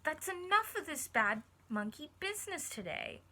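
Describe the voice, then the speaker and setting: Exasperated female householder for a picture book, played by a woman reader, high, bright, emphatic delivery. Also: very animated, normal speed, full character voice. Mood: scolding, exasperated. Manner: scolding and pleading, emphatic.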